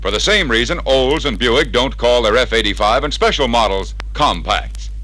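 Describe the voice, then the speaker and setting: A man's voice: medium pitch, animated, normal speed, sharp tone, rough recording. An American man narrating a scripted 1960s sales training piece in a persuasive, emphatic announcer style, heard from a vintage vinyl record.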